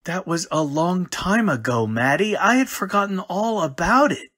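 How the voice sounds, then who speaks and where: A man acting a modest, flustered bereaved husband in an old-time radio drama, halting and hesitant. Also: sits high, expressive, fairly bright tone, husky, full character voice. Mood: flustered, evasive.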